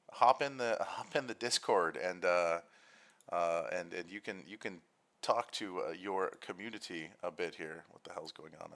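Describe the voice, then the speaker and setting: A man's voice: low-pitched, gravelly, fairly bright tone. A man with an American accent, hosting a casual livestream chat in a rambling, self-deprecating, joking way. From United States. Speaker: host.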